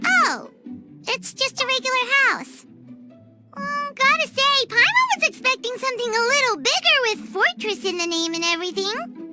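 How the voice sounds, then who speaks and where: Squeaky tiny fairy companion. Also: female voice, lively intonation, normal speed. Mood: teasing, surprised. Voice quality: squeaky, childlike. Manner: speaks of herself in the third person, exclamatory. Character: tiny childlike female fairy companion, chirpy and self-assured. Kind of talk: English video game voice acting. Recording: music behind the voice. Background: loud game music.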